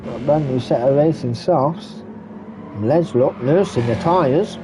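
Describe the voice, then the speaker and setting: A man giving relaxed running commentary on a sim race, stuffy and muffled from a cold. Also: mid-range voice, measured pace. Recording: muffled lo-fi recording with background noise.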